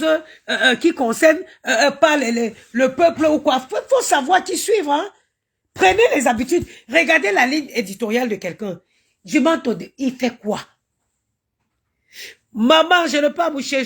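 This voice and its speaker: A woman's voice: high voice, animated, normal speed. A woman from Cameroon, ranting indignantly on a live stream, stammering and chanting invocations. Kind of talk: impassioned live-stream rant.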